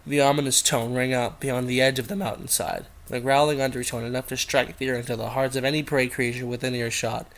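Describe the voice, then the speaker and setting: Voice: medium pitch, level tone, fairly quick, few pauses. A host casually reading fan fiction aloud and rambling off into irreverent, giggly side comments. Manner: giggly, irreverent, easily sidetracked.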